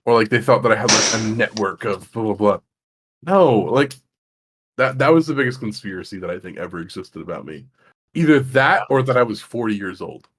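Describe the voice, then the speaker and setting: A young man with a deep voice, interviewing in a laid-back, casual online chat and laughing often. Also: expressive, fairly quick, fairly bright tone, slightly rough, low-quality recording. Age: young adult.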